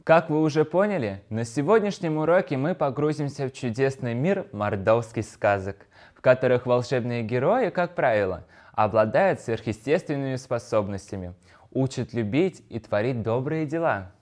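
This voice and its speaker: Medium-pitched man presenting a lesson. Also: expressive, normal speed, a little mellow. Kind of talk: scripted educational narration.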